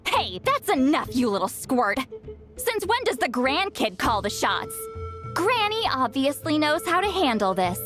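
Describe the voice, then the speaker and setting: A woman's voice: sits high, very animated, measured pace. A woman playing a bossy, teasing, self-styled granny in a video game, theatrical and self-assured as she scolds. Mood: scolding, smug. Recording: game music behind the voice.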